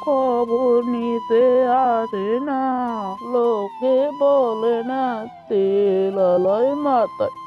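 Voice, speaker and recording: A weary, bitter old cartoon woman played by a woman complains very slowly in a raised voice. She sounds aggrieved and neglected. The sound is dull, with music playing behind her. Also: a little high. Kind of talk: slow cartoon lament.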